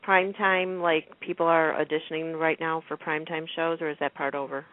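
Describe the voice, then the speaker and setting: Deep-voiced woman on a phone line. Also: flat delivery, moderate pace, dry recording. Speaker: radio show panelist. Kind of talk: casual chat about TV soaps. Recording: thin phone-like radio line.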